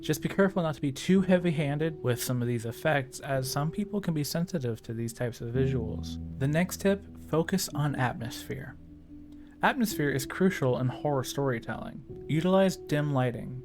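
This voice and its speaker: A man hosting a video, narrating scripted tips in a tutorial style. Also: medium pitch. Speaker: video host giving tips.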